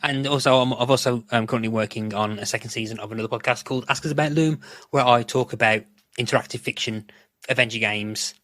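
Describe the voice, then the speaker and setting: A man's voice: mid-range voice, steady tone, quick pace, a bit bright, no echo. A man chatting casually as a podcast guest, rambling and swearing freely.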